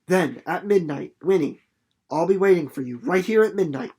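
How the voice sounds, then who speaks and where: A man's voice: high voice, expressive, normal speed, warm tone, husky, exaggerated voice. A man voicing an earnest, plain-spoken country teenage boy in a storybook, folksy and pleading. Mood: hesitant, hopeful.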